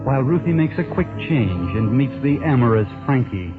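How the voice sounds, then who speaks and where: An actor playing a wry, knowing American narrator of a 1940s radio mystery, sardonically addressing the characters. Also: male voice, mid-range voice, lively intonation, normal speed, lightly acted. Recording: muffled 1940s radio recording. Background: music.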